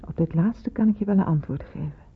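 A woman's voice: medium pitch, fairly quick, husky. An actress playing a stern but motherly senior nurse in a Dutch radio play, speaking with persuasive authority. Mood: firm, admonishing. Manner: authoritative, admonishing. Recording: muffled, phone-like old radio recording.

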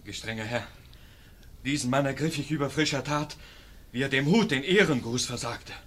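An actor playing a zealous, officious guard in a classic radio play who makes accusations. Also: male voice, medium pitch, moderate pace, fairly bright tone, natural voice. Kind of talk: classic radio drama acting.

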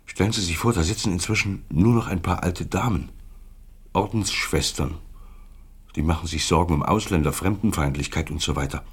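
An actor playing a despairing, middle-aged former secret police officer in a radio play, speaking haltingly in broken-off sentences. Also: male voice, deep voice, breathy edge. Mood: troubled, recounting. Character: despairing former East German Stasi officer, seeking absolution. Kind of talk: German radio play acting.

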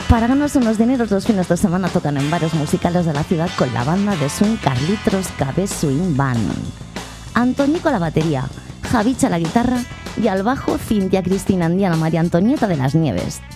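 A woman's voice: on the low side, very animated, brisk. Ironic woman narrating over punk music. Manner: dramatic, ironic. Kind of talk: mock documentary narration.

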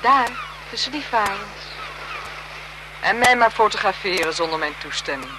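An actress playing a self-possessed, flirtatious marquise in a 1970s Dutch radio play, speaking formally and teasingly. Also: female voice, medium pitch, expressive, normal speed, lightly acted. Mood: coy, teasing. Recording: 1970s radio drama with noisy background.

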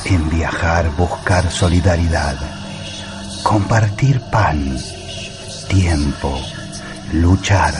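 A very slow, deep, portentous herald. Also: male voice, music in the background. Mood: solemn, rousing. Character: male herald of eternal travellers, solemn, portentous. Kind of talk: dramatic radio-play intro.

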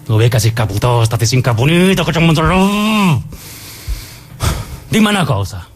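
An actor playing a dead mobster, bitter and reflective, reproaching in a southern Italian accent in a stage drama. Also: male voice, very animated, normal speed. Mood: reproachful. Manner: bitter, confiding, profane. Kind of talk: dialect stage drama acting. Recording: noisy recording with low rumble.

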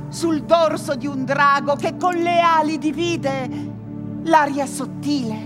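An actress playing a solemn chorus storyteller, declaiming verse in deliberate phrases for a radio drama. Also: female voice, high voice. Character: chorus, solemn female storyteller of wondrous voyages. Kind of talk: theatrical verse narration on radio. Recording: music behind the voice.

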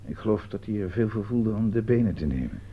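Deep-voiced, genteel, composed gentleman. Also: lively intonation, quick pace, slightly rough. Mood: measured recounting. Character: genteel uncle, composed, confident male schemer. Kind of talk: radio play acting in Dutch. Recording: dull old radio recording, faint noise.

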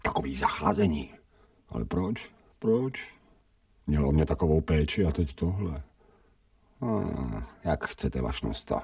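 An actor in a radio drama playing a grumbling, cynical stray dog who thinks aloud like a human in colloquial speech. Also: male voice, extremely low pitch, lively intonation, vocal fry, pauses often, a little mellow, slightly rough, exaggerated voice. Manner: colloquial Czech, muttering, pleading when frightened. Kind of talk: radio drama acting, inner monologue. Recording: music behind the voice.

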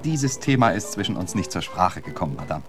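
An actor playing an urbane, witty poet in a radio play, suave and flowery with a dry humour. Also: male voice, low-pitched, sing-song, fairly quick. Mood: droll. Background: music.

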